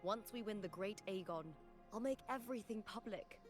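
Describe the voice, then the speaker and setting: A proud young arena warrior from a video game, played by an actress. She speaks with self-assured, matter-of-fact confidence at an ordinary female pitch. Loud game music plays behind her. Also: moderate pace, natural voice.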